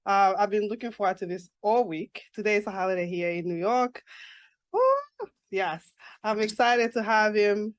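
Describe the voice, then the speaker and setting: A woman from Zambia hosting a casual podcast interview, excitable and quick to laugh. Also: medium pitch, dry recording. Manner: warm, excitable, laughs often. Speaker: podcast host. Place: New York.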